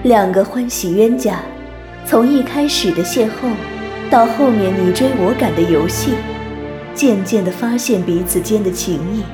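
A woman with a mid-pitched voice reads scripted, dramatic trailer narration. Prominent new-age music plays behind her. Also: measured pace. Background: new-age background music.

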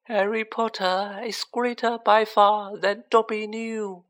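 A storybook reader voicing a small male house-elf servant with a shrill, squeaky voice and a devoted, wide-eyed manner. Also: level tone, fairly bright tone. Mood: tearful joy. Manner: slow, drawn-out, wide-eyed, speaks of himself in third person.

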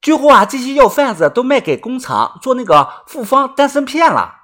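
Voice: high voice, sing-song, normal speed, fairly bright tone, voice pushed up. A narrator voicing an earnest, simple young country man in an audiobook, eager and a little hesitant. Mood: explaining.

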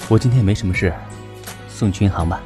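A man acting a composed, considerate young teacher in a Chinese radio drama, speaking in a measured, understated way. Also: lowish voice, lightly acted. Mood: matter-of-fact. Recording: music behind the voice.

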